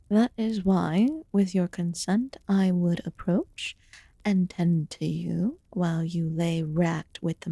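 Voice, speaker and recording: A woman voicing an aloof, curious fae witch healer in a fantasy ASMR roleplay, speaking formally and softly, often dropping into a whisper. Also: lowish voice, gravelly, dry recording, exaggerated voice. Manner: formal, archaic, deliberate phrasing.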